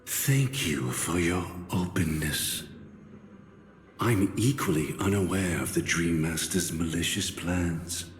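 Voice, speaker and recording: Anime game spirit: calm, formal, solemn, low-pitched and slow, in a cavernous echo. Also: male voice. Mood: grave, persuasive. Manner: formal, polite, solemn, deliberate. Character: calm, courteous male spirit formed from memory. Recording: echoey long reverb, faint noise.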